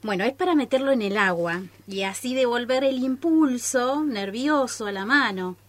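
A woman's voice: fairly high pitch, normal speed, natural voice. An Argentine woman acting a naive, eager accomplice to a reckless experimenter in a radio play, earnest and reassuring. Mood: earnest, coaxing.

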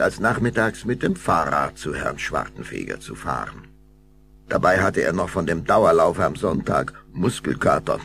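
A man's voice: deep voice, vocal fry, slight background noise. A man narrating a children's audio drama in a scripted storytelling style.

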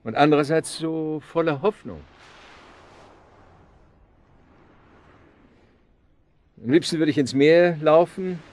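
An actor playing a lonely, wistful romantic in a German radio drama, speaking in a halting, florid way. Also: male voice, on the high side, darkish tone, close to an everyday voice. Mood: wistful, dreamy. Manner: wistful, halting, given to Latin and French phrases. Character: lonely, wistful man, romantic and talkative suitor.

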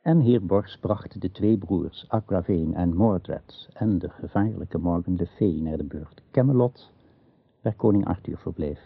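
A man's voice: low-pitched, unhurried, husky, no room sound. A man narrating a scripted radio drama as its storyteller. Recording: narrow, phone-like old radio recording.

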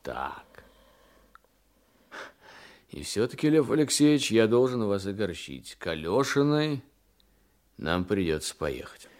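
An actor playing a police investigator in a Russian radio play, polite, measured and quietly ironic as he questions witnesses. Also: lowish voice, very animated. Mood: dryly firm.

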